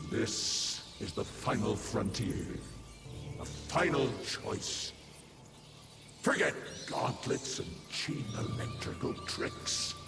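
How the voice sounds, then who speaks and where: A man's voice: mid-range voice, huge pitch swings, creaky, loud music behind the voice, full character voice. A man playing a monstrous undead father in a video game, grandiose and menacing as he preaches godhood. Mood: solemn menace. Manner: grandiose, menacing, slow deliberate delivery. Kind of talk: dramatic video game villain acting.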